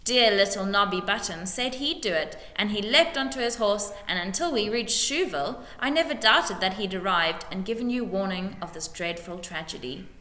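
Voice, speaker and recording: A woman's voice: mid-range voice, expressive, normal speed, hardly any pauses, sharp tone. A woman voicing a scheming, bullying male lord in a storybook reading, with snarled orders and oily false sympathy. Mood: grave, pompous. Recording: echoey room with long reverb.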